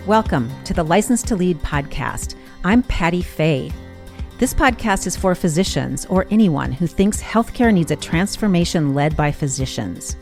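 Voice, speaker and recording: Woman podcast host, slightly low voice, music behind. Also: expressive, normal speed, a little mellow. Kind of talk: scripted podcast introduction and interviewing.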